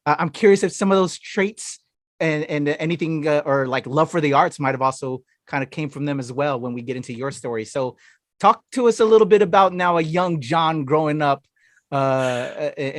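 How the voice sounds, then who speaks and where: Effusive podcast host, higher-pitched man. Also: no echo, clean studio sound. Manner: effusive, gushing affirmations, halting questions. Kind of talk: casual podcast interview.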